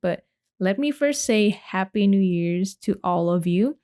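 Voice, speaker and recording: A woman's voice: medium pitch, animated, unhurried, warm tone. A young woman from Indonesia hosting her own podcast, talking casually and rambling with lots of "like" and restarts. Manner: chatty, rambling, with many likes and restarts. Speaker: podcast host. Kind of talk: casual solo podcast talk.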